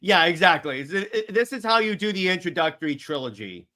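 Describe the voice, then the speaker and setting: High, bright-voiced man chatting about films. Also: big pitch swings, fairly quick. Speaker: co-host reading viewer comments. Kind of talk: casual chat.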